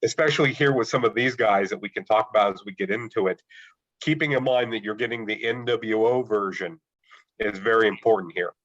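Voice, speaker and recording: A man's voice: lowish voice, brisk, fairly bright tone, lo-fi sound. A man co-hosting a casual wrestling podcast, stuffy from a cold and thoughtfully weighing his choices. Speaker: podcast co-host.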